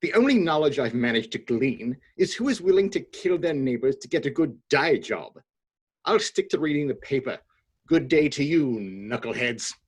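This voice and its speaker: A man reading aloud as a fed-up English gentleman, prim and stiff over a video call. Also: mid-range voice, animated, moderate pace, breathy edge. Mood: huffy. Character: fed-up huffy English gentleman, middle-aged.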